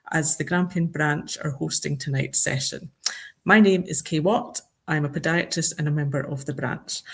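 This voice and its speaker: A woman hosts an online teaching session at a mid-range pitch. She introduces the evening and the guest in a friendly, slightly scripted way, speaking over a video call microphone. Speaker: host, podiatrist introducing the speaker. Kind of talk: welcoming webinar introduction.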